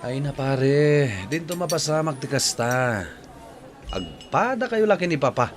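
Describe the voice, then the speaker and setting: An actor playing a cocky, breezy young playboy son in a radio drama, with an Ilocano Filipino accent. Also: male voice, a little high, animated, unhurried. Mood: dismissive.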